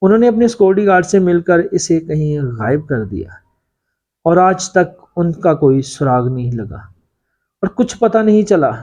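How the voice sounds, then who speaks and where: Man reading as confiding, mellow-voiced factory worker. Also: high-pitched, sing-song, moderate pace. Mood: grave confiding. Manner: confiding, cautioning, deferential. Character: long-serving male factory worker, secretive, earnest informant.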